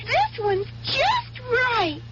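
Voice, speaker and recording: A woman's voice: very high voice, huge pitch swings, very slow, fairly bright tone, heavily acted. A woman playing a perky, boastful storybook girl who tests drinks in a 1970s radio commercial. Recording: muffled, hissy 1970s radio broadcast.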